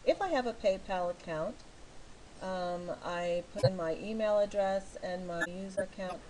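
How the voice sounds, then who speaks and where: A middle-aged American woman from California, a teacher talking slowly and patiently through each step of filling in a form online. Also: medium pitch, a little mellow, slight background noise, low-quality recording. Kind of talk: screen walkthrough tutorial.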